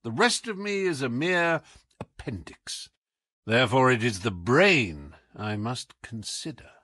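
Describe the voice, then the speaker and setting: A man's voice: mid-range voice, big pitch swings, measured pace, pushed and bright, dry recording, studio quality, heavily acted. A man reading an audiobook as a whimsical, imperious detective with a British accent, theatrical, commanding and wry. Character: brilliant, whimsical, imperious male English detective.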